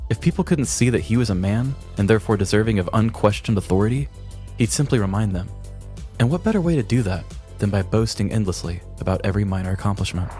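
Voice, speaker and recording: A man co-hosting a podcast, telling a scripted comedic story in a deliberate, mock-grandiose way. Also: lowish voice, warm tone, soft background music. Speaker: podcast co-host.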